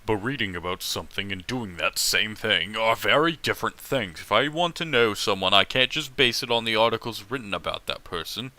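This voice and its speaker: A man hosts a casual read-aloud with his own running commentary. His voice is bright and forceful, with lively swings in pitch. The recording is dry and close, and he speaks bluntly, swearing freely. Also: fairly quick, hardly any pauses, no room sound. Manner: animated, opinionated, profane. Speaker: host reading fan fiction aloud.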